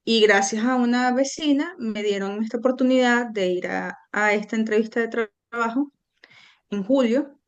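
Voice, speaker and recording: A woman's voice: fairly high pitch, moderate pace, highs cut off. Earnest woman telling her story. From Venezuela. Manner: reflective, earnest, with frequent hesitations. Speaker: guest. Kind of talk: personal storytelling in an interview.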